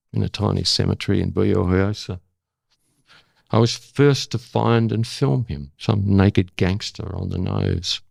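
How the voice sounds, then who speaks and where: An older Australian man with a gravelly voice, speaking as an interview guest and former correspondent. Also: low-pitched, a little mellow, no room sound. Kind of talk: dramatic spoken-word recital of own prose, then reflective conversation.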